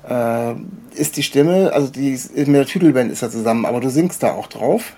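A man's voice: mid-range voice, expressive, a little fast, dark tone, slightly rough. A man interviewing a guest in casual chat, with a rambling, roundabout way of asking questions. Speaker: podcast host, interviewer.

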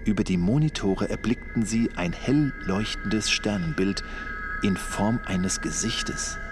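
A man's voice: fairly low pitch, unhurried, noisy background, close to an everyday voice. A man acting as the solemn narrator of a German sci-fi audio drama, reading dramatic scripted narration. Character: male storyteller narrating a space adventure, solemn, dramatic.

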